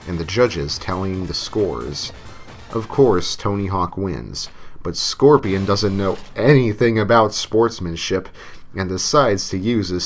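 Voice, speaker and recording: A man narrating a scripted comic horror story in a theatrical way, with emphatic delivery. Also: lowish voice. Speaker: creepypasta narrator. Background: music.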